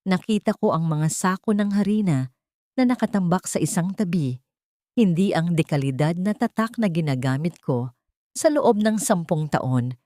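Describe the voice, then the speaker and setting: A woman with a Filipino accent narrating a scripted dramatic first-person story in a measured, emotive way. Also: lowish voice, darkish tone, dry recording, studio quality.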